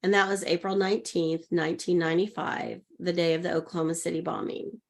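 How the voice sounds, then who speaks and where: A woman's voice: lowish voice, little pitch movement, brisk. A woman around sixty, a guest coach, telling a personal story in a podcast interview and acting out the voices of people she quotes. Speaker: guest, intuitive leadership coach.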